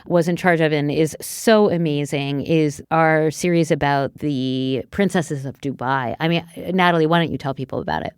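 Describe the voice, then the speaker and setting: A woman with a deep-set, smooth and clear voice. She co-hosts a podcast in a conversational, opinionated style, with a very dry studio sound. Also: normal speed, hardly any pauses, no room sound. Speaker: podcast co-host. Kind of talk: casual, opinionated podcast discussion.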